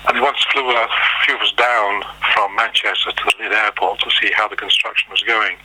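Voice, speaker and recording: An older man from Liverpool, recalling his life in an oral history interview, unhurried and rambling with frequent pauses and restarts. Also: fairly low pitch, steady tone, sharp tone, slightly rough, rough recording. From Liverpool, England.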